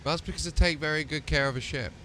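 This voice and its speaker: A man's voice: a little high, quick pace, a bit bright, a little hiss or hum. A man in casual role-play chat as a game streamer playing a ship commander.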